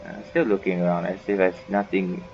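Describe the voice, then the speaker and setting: A man with a lowish, very creaky voice gives casual game commentary. He muses and mutters to himself as he plays. The mic sounds dull, with faint game music behind. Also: level tone, fairly quick, a little mellow. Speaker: gaming video host.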